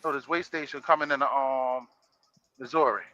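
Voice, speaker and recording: A man's voice: medium pitch, fairly even intonation, moderate pace, sharp tone, dull audio. A man on a sports podcast panel, debating casually and repeating his points as he cuts in. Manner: repeats points while cutting in.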